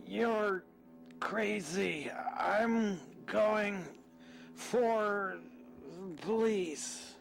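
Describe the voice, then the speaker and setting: Man playing a slow, high-pitched, strained young husband. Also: vocal fry, soft background music, full character voice, rough recording. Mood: strained defiance. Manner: halting, effortful delivery. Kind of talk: horror audio drama acting.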